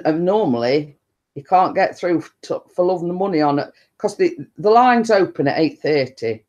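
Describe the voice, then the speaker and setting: A woman with a deep-toned voice, chatting casually and rambling to her viewers on a live stream. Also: a little mellow, highs cut off. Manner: chatty, meandering, repeats stock phrases and laughs often.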